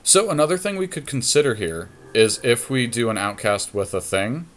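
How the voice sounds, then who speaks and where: A man's voice: medium pitch, animated, normal speed. A man co-hosting a podcast, giving casual card game commentary and thinking plays through aloud in a conversational way. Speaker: podcast co-host.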